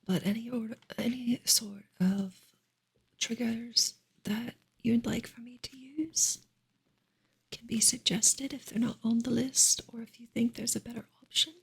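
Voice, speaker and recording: Female ASMR host, very breathy whisper, slow and gentle, informal livestream chat. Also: a little high, fairly even intonation. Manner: soft, unhurried, gentle, halting with long pauses.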